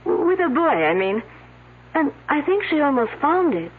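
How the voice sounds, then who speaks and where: An actress playing a young French student with a French accent in a 1970s radio mystery, speaking in careful formal phrases with coy hesitations and a slight air of superiority. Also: female voice, high voice, very animated, normal speed. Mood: gossipy, cool. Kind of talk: 1970s radio drama acting. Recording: muffled, phone-like old radio broadcast.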